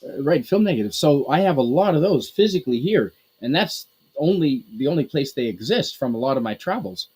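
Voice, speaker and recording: A man's voice: on the high side, expressive, fairly quick, a little mellow, slightly rough. A man from Canada chatting on a podcast in a rambling, hesitant way, with lots of restarts and fillers. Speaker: podcast co-host. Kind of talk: casual group podcast chat.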